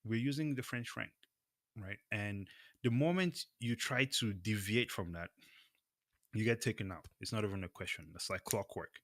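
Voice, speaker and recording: Guy from cameroon co-hosting a crypto talk show, lowish voice, dry close sound, emphatic opinions. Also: moderate pace, fairly bright tone, no room sound. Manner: emphatic, checks in with "right?". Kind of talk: casual opinionated discussion.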